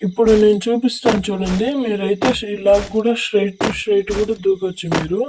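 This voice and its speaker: A Telugu-accented gaming creator speaks through a voice changer in a mid-pitched voice. The delivery is an instructional gameplay walkthrough that often repeats its directions. Music plays clearly behind the commentary. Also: steady tone, normal speed, poor audio.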